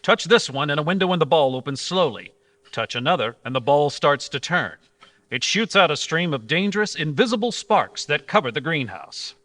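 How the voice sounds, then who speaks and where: A man playing an earnest, authoritative hero of an old-time radio adventure, explaining things and giving brisk orders. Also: a little high, lively intonation, sharp tone. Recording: live stage recording with background noise.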